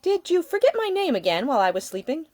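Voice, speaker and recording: A woman reading a storybook plays a young Victorian lady who speaks up for herself. She is defiant with a wounded edge, pitched a bit above a narrator's voice, in a close, dry recording. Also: big pitch swings, fast talker, darkish tone, dry recording. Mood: teasing. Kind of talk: acted audiobook dialogue.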